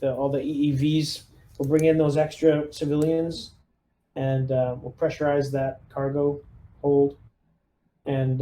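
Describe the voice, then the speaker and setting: A man plays a squad leader in a tabletop game, speaking casually. His voice is soft-edged and mellow, with very little rise and fall in pitch. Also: slight background noise, rough recording. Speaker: player acting as squad lieutenant.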